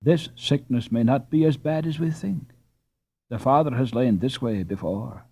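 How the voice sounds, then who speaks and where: A man voicing a hardworking poor mother who speaks calmly and in hushed tones. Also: medium pitch, moderate pace, breathy edge. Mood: soothing. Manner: calm, hushed.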